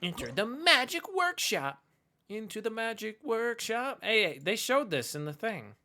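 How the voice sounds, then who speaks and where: A man's voice: high voice, sing-song, a little slow, bright, forceful tone. A man in his twenties giving casual, slangy live game commentary in a reactive, jokey manner. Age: 20s. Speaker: game streamer. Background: faint game music.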